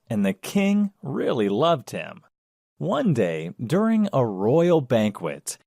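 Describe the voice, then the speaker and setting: A man narrating a children's story in a measured, expressive storytelling manner. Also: mid-range voice, no room sound, clean studio sound.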